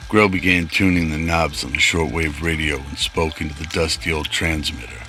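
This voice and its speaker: A man's voice: extremely low pitch, steady tone, moderate pace, music in the background. A man narrating a scripted sci-fi radio drama.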